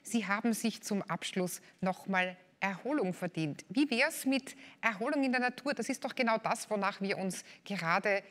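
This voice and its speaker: A woman's voice: medium pitch, expressive, moderate pace, hardly any pauses, fairly bright tone, in a large hall. A woman moderating a live trade-fair event, announcing the next program point in an upbeat, formal way. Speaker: event presenter.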